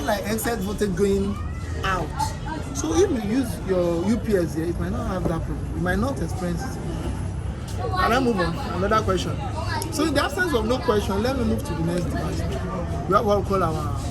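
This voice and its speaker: A man with a Nigerian accent teaching an informal installation class, repeating instructions and checking in with questions. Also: high-pitched. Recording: noisy outdoor street bustle with loud music.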